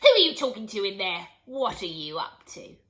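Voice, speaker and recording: A woman's voice: mid-range voice, very animated, normal speed, fairly bright tone. A woman voicing a screechy, bossy old grandmother in a storybook reading, her tone nagging and demanding. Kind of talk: storybook read-aloud.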